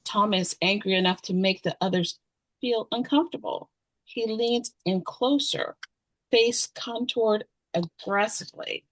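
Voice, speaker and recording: A woman's voice: medium pitch, unhurried, a bit bright, no room sound, low-quality recording. A woman narrator reading scripted screenplay directions at a table read.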